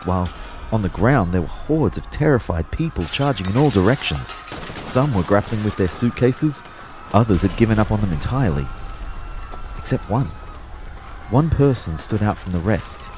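An actor playing a wry, lovestruck young personal trainer who narrates an audio drama in a measured, understated way. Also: male voice, fairly low pitch, background noise, lightly acted. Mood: dazed, tense.

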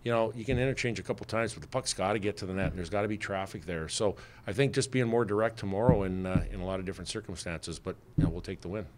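A man's voice: lowish voice, fast talker, few pauses, slight background noise. A middle-aged man, a head hockey coach, answering reporters after a game in a plainspoken way, with many fillers and restarts, at a desk mic in a press room. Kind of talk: postgame press conference answers. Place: press room with sponsor backdrop. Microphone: desk mic on stand.

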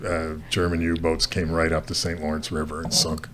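A man's voice: rumbling low, completely flat delivery, a little fast, a crowd in the background. A man in his sixties from Canada, a photojournalist guest talking casually at a studio desk mic, rambling with many restarts and fillers. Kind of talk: casual interview talk. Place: studio set with brick wall. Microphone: desk mic on stand.

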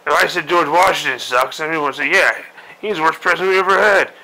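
A man's voice: fairly high pitch, fast, pushed and bright, low-quality recording. A man doing a grumpy old admiral. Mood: ranting. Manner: profane, griping rant. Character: grumpy old male admiral commander, crude and impatient. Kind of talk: comedic parody monologue.

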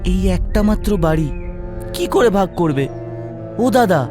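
A man's voice: sits high, animated, moderate pace, over music, pitched above the performer's own voice. A man voicing an earnest, pleading young farmer, the poor younger brother in a cartoon, with a respectful, plaintive manner.

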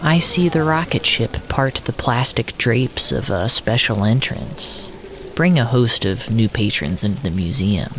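A man narrating surreal first-person audio fiction in a deliberate, descriptive way, often in short fragments. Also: medium pitch, lively intonation, a bit bright, a little hiss or hum.

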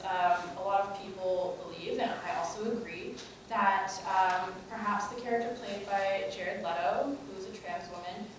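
A woman's voice: medium pitch, very echoey, noisy background. A young woman giving a conference talk, conversational, with many fillers and rhetorical questions, recorded from a far camera in a large lecture room. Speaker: student organizer giving a talk. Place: large lecture room, in front of a projector screen. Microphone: far camera, no mic.

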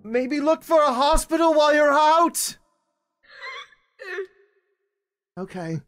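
A man's voice: high voice, big pitch swings, slow pace, fairly bright tone, soft background music, full character voice. A man voicing a nervous, lovesick young blacksmith, hesitant and awkward, in an improvised comedy dub.

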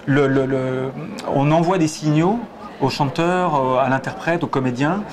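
A man in his forties explains his staging choices in a hesitant, searching way, often restarting his words. He speaks on a lapel mic in a theatre auditorium, with a crowd chattering clearly behind him. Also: fairly high pitch, a little slow. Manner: hesitant, many repeated starts, gestures while talking. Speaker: stage director and theatre head. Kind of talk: thoughtful interview answers. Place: theatre auditorium, in a box seat.

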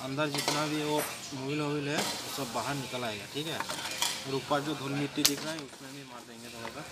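A man's voice: medium pitch, steady tone, a little fast. A young man, a car mechanic with a Kolkata Hindi accent, walks through a repair job, saying "dekho" and "theek hai" over and over. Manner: repeats "dekho" and "theek hai" while showing his work. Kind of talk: hands-on repair walkthrough. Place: outdoor garage workshop. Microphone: phone camera held while working. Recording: phone audio with garage noise.

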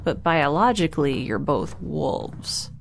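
A woman's voice: low voice, sing-song, slow pace, vocal fry, soft background music, heavily acted. A woman playing a dry, sarcastic young scientist. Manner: dry, sarcastic, quietly blunt. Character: sardonic, blunt young woman scientist held captive.

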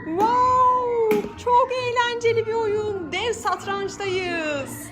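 A woman's voice: very high voice, steady tone, extremely slow. A woman hosting a children's play vlog as a mother, coaxing the kids and talking to viewers with exclamations and many questions. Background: music.

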